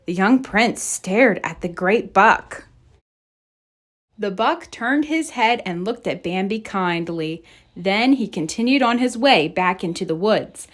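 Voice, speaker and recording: A woman reading a children's story aloud in an expressive, deliberate storybook narration style. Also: mid-range voice. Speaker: storybook reader.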